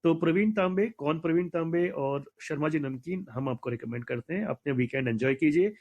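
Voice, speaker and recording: A man with an Indian accent hosting a film discussion conversationally, with hesitant pauses. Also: a little high, a little mellow. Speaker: host of a film discussion show. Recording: dull, lo-fi online audio.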